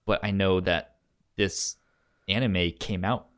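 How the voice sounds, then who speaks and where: A man co-hosting a podcast in casual chat, halting and stammering over his words as he talks. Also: sits low, fairly even intonation, fairly bright tone. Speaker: podcast co-host.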